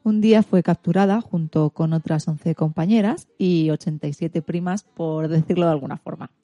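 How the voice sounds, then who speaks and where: A woman's voice: low voice, moderate pace, dark tone, no echo, muffled recording. A woman with an accent from Spain hosting a radio show, telling a story in an informal, scripted way for all ages. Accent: Spanish from Spain.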